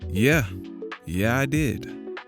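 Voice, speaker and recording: A man's voice: medium pitch, lively intonation, drawn-out words, over music. A man playing a brash, wry, chatty caller in a casual audio drama. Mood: amused.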